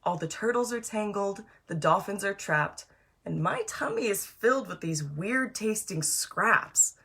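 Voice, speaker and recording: A woman's voice: mid-range voice, lively intonation, unhurried, bright, forceful tone, slightly rough, exaggerated voice. A woman voicing a distressed female blue whale from a children's storybook, pleading urgently for help. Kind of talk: children's picture-book character reading.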